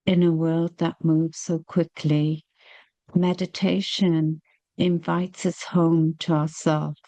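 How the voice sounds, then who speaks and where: A woman leading a guided meditation, speaking gently and soothingly with long, unhurried pauses. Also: low-pitched, flat delivery, no room sound.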